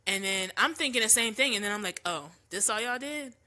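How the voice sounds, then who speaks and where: A woman's voice: mid-range voice, expressive, fairly quick, sharp tone. A woman hosting a podcast from home, talking casually and opinionatedly with slang and many ums, her voice a little congested from allergies. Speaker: podcast host.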